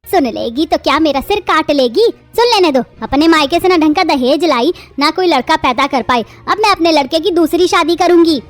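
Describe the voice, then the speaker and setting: Shrill scolding mother-in-law. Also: expressive, moderate pace, hardly any pauses, faint music behind. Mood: scornful. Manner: shrill, insulting, shouting tirades. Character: harsh scolding middle-aged mother-in-law. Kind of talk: animated family drama voice acting.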